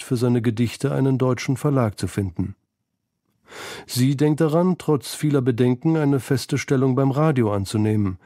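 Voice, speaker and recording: A male narrator of a scripted radio feature. His voice is mid-range and soft-edged, with a dark, mellow tone. He reads in a measured, literary way, recorded very dry. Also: medium pitch, dry recording.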